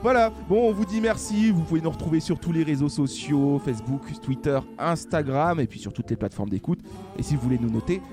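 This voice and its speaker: A man's voice: a little high, lively intonation, fairly quick, over music. Joking podcast host, man. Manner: joking, laughs often. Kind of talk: casual chat.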